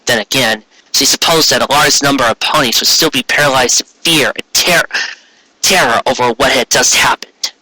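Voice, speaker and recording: Very bright, husky male story reader. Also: mid-range voice, normal speed, dry recording, rough recording. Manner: stumbling over words, self-correcting. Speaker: amateur story narrator. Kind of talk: reading fan fiction aloud, narration.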